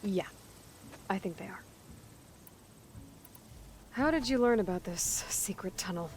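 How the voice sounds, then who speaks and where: An actress playing a level-headed young heroine in a video game, dry and matter-of-fact. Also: female voice, mid-range voice, unhurried, lightly acted. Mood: dry, skeptical. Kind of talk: video game cutscene acting.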